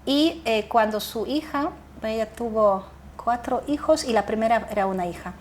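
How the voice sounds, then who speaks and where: Animated woman telling family stories. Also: on the high side, normal speed, slight background noise. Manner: animated, laughs often, restarts words. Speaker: guest, association founder. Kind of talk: casual interview storytelling.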